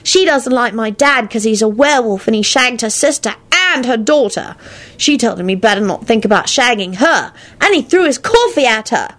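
A woman's voice: on the high side, lively intonation, normal speed, a bit bright, pitched above the performer's own voice. A woman voicing a sulky, cheeky young wizard boy in a storybook, blurting things out in a childish whine. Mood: chirpy.

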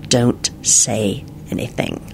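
A fierce but calm male wizard, acted out by a man reading a story. He keeps his words hushed and flat, with a creaky grain. The recording has slight noise. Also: medium pitch, normal speed, lightly acted. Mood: urgent whisper. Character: quiet, coldly controlled, fierce male wizard hero.

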